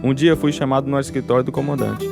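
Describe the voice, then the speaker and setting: An actor with a Brazilian accent playing a devout, steadfast prisoner who tells his story in measured, earnest radio drama style. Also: mid-range voice, fairly even intonation, gravelly, over music, natural voice. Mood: solemn, narrating. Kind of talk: dramatized first-person narration, radio drama.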